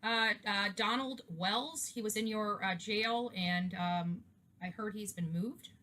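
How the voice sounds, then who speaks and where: A woman's voice: medium pitch, slow pace, pushed and bright. A woman making a hesitant enquiry on a phone call. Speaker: caller to a jail. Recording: noisy recorded telephone call.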